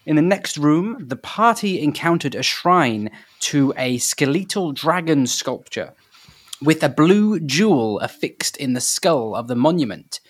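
A man reading out the recap of a tabletop adventure, steady and measured like a storyteller. His voice sits slightly high. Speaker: player and recap narrator.

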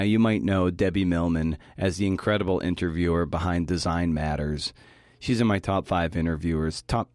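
A man's voice: sits low, a little mellow. A man hosting a podcast, delivering a scripted talk that links interview clips. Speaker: podcast host giving a talk.

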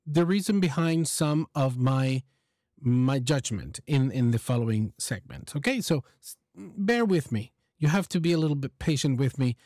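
A man's voice: mid-range voice, lively intonation, moderate pace, darkish tone, no echo. A man with a mid-range voice talks to his listeners as a host and teacher. He explains things casually, circling back to rephrase, in a dry recording with little room sound. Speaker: host, English exam teacher giving feedback. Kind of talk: casual explanatory podcast-style talk to listeners.

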